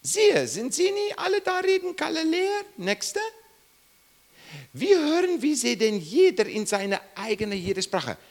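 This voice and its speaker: Emphatic preacher man, swooping pitch. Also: very animated, unhurried. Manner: emphatic, rising and falling sharply, repeats questions to listeners. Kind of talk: Bible teaching sermon.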